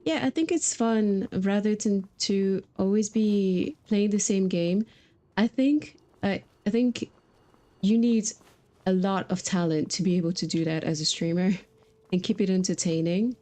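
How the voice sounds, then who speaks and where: A woman's voice: medium pitch, measured pace, warm tone. A woman streamer talking casually and informally while she plays a video game, chatty with her viewers. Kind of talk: casual livestream chat while playing.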